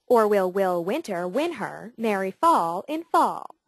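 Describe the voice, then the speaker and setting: A woman reading tongue twisters as a speech exercise, articulating each word crisply and carefully. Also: medium pitch, big pitch swings, measured pace, no room sound, studio quality.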